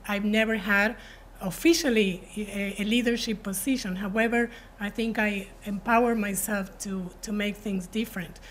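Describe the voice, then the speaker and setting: A woman's voice: medium pitch, slight background noise. A woman from Argentina speaking as an alumni panelist, telling her career story in an earnest, personal way. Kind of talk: panel introduction. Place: university event room.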